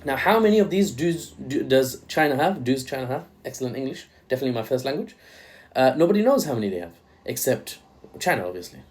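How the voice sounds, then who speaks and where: A man's voice: medium pitch, big pitch swings, quick pace, darkish tone. Man, young, from Bangladesh, news commentator, irreverent, jokey, mid-range voice. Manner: joking, irreverent asides.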